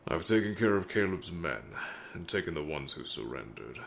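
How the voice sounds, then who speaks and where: An actor playing a stern, businesslike mob boss with a gravelly voice and an air of authority in an audio roleplay. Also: male voice, very animated, normal speed, fairly bright tone, full character voice. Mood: cool, commanding. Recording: faint noise with low rumble.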